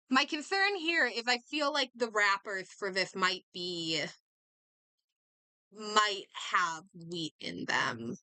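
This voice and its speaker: A woman's voice: mid-range voice, lively intonation, very slow, pauses often, pushed and bright, no room sound, poor audio. A woman livestreamer chatting casually as she games, reacting and thinking aloud, often doubling back on her words. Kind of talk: casual chat while gaming, reacting aloud.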